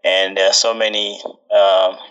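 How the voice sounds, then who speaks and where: Ugandan-born young male guest, deep voice, unhurried, thoughtful and halting. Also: little pitch movement, fairly bright tone, highs cut off. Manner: thoughtful, with many fillers and restarts. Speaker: guest, social entrepreneur. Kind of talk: interview answers.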